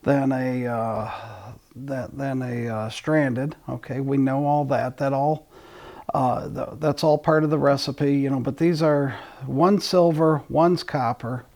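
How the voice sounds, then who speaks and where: A man's voice: medium pitch, fairly even intonation, takes it slowly, a little mellow. A man hosting an audio channel, talking casually to his listeners in a rambling way, often restarting sentences mid-thought.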